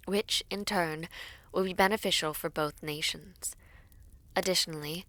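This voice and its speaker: A woman playing a composed young princess in a fantasy audio roleplay, speaking in formal, deliberate, stately phrases. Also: fairly low pitch, a bit bright, no echo. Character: composed young princess negotiating a peace treaty, regal and measured.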